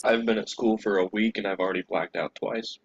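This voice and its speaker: A man's voice: fairly low pitch, steady tone, a little fast, husky, dull audio. A young man chatting casually and telling stories as a co-host, in a crude, profanity-laden way. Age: young adult. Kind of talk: casual chat, storytelling.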